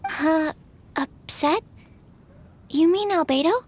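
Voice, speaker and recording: A woman's voice: very high voice, slow pace, darkish tone. A woman voicing a shy, polite, bookish young alchemist in an anime game, explaining earnestly with a hesitant, apologetic manner. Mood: mild, puzzled. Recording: music behind the voice.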